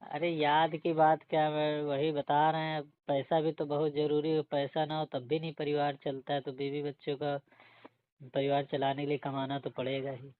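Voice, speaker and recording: A man's voice: a little high, completely flat delivery, moderate pace, smooth, dry recording, close to an everyday voice. A man playing a humble married village man who works as a city guard, speaking deferentially and hesitantly in a casual staged phone conversation. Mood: plain, resigned. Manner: deferential, hesitant, plain rustic talk. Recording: dull, lo-fi, dry call-style recording.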